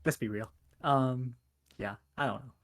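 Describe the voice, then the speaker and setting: A man's voice: mid-range voice, measured pace, fairly bright tone. A young man co-hosting a podcast, making dry, wry remarks in casual chat. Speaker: podcast co-host.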